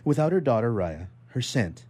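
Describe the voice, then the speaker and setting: A man's voice: mid-range voice, big pitch swings, moderate pace, a little mellow, breathy edge. A man with a classic radio voice, introducing and reading a short story. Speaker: repertory player reading a story. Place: radio studio.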